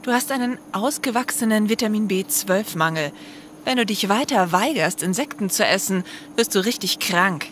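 Actress as serious forty-ish female scientist, audio drama, middle pitch, faint ambient noise. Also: expressive, moderate pace, fairly bright tone, close to an everyday voice. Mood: scolding, concerned. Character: stern, worried female scientist around forty.

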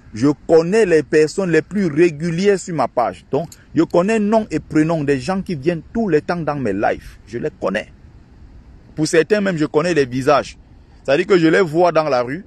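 A man's voice: a little mellow, slightly rough. A man recording himself by a lake on a phone, ranting and mocking in an indignant monologue to his followers. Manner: indignant, ranting and mocking, quick shifts in pitch. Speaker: online activist and channel host. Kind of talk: outdoor vlog monologue. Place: outdoors by a lake in a park.

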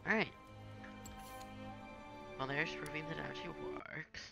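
A man's voice: on the high side, measured pace, gravelly, loud music behind the voice. A young man giving a casual, improvised screen-recorded tutorial in an offhand way. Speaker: gaming tutorial maker. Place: indoors at a home computer.

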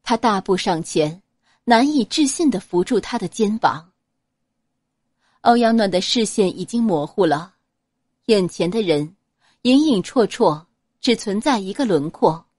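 A woman narrating a serialized novel as an audiobook, reading in a dramatic, expressive manner. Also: medium pitch, a little slow, long pauses, slightly rough, no echo, clean studio sound.

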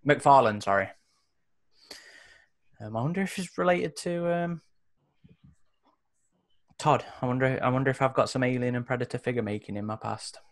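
A man's voice: mid-range voice, normal speed, long pauses. A man in his thirties chatting casually as a host and player in a tabletop roleplaying game, on an indoor call. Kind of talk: casual chat. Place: indoor room on a video call.

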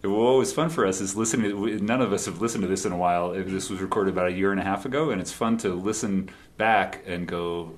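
Low-voiced male musician chatting. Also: quick pace, hardly any pauses. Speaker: band musician being interviewed. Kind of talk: casual interview chat. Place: live in a radio studio.

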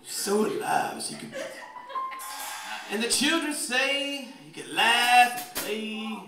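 A young man performs a mock-dramatic comic reading at a very high pitch. He is picked up from far off through a stage microphone, with loud instrumental music behind him. He stretches and repeats his phrases for the audience. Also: very animated, slow pace. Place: church stage, indoors. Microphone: handheld microphone through PA, filmed from the audience. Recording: roomy, distant audience camera recording. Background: loud live instrumental music.

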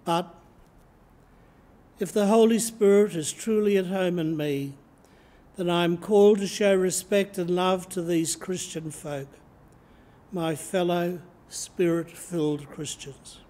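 An older man in his seventies with an Australian accent, preaching a sermon from the lectern of a church and reading slowly and deliberately from his notes. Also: high voice, fairly even intonation, warm tone. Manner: slow, measured reading from notes. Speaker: priest. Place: church sanctuary, indoors. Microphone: lectern microphone, camera in front.

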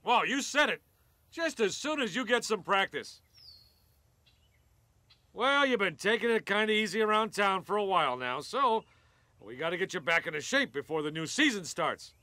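An encouraging semi truck for an animated game. The American voice is high, bright and lively, chummy and hearty. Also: male voice, normal speed, no room sound, full character voice. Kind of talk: animated video game acting.

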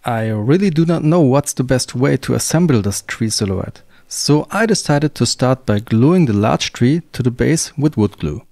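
Man, German accent, woodworking voice-over, calm step-by-step, animated intonation. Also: medium pitch, normal speed, creaky, few pauses, dry recording. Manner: calm, plain step-by-step explaining. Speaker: woodworking channel host. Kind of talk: scripted voice-over narration of a craft project.

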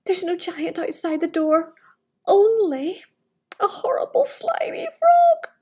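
A woman's voice: very high voice, sing-song, takes it slowly, a little mellow, pitched above the performer's own voice, exaggerated voice. A woman voicing a young storybook princess, spoiled, anxious and squeamish, protesting fretfully.

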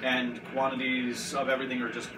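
A man's voice: mid-range voice, completely flat delivery, a little fast. A man hosting a casual home cooking show, joking and rambling with his friends in a kitchen, recorded from a distant camera. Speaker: host. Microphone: far camera. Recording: roomy, distant, noisy kitchen audio.

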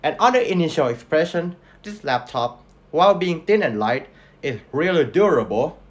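A young man with a Thai accent, reading a scripted tech review as voice-over and listing specs in an earnest, steady way. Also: on the high side, normal speed. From Thailand.

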